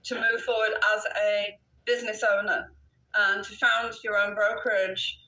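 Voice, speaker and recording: A woman's voice: mid-range voice, flat delivery, moderate pace, pushed and bright, reverberant, low-quality recording. An older woman from Wales with an English-sounding British accent, a real estate broker answering interview questions in a self-assured, chatty way and laughing often.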